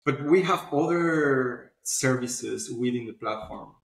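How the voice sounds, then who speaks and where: A man's voice: medium pitch, slow, gravelly, slight background noise. Man explaining in a roomy, echoey space. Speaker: founder of a publishing platform, podcast guest. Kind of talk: conversational interview explanation. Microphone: video call. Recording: roomy echo, online video call.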